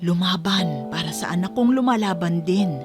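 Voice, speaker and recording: A woman's voice: lowish voice, lively intonation, moderate pace, natural voice. A woman playing a radio hostess who reads a mother's letter as sentimental, earnest narration, with a Filipino accent. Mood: moved, proud. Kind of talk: scripted dramatic narration, radio drama. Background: music bed.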